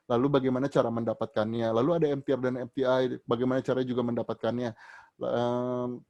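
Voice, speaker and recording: A man's voice: medium pitch, a little mellow. A man leading an online training session, answering audience questions in a casual explaining manner with many fillers and restarts, his voice rising sharply at the start of each answer.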